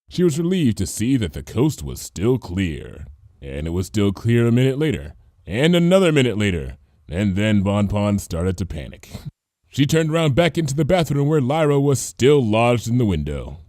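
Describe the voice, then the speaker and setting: A man's voice: lowish voice, warm tone, dry recording, studio quality. A man reading a story aloud as narrator, in a scripted storybook style. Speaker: fan fiction reader, narrator.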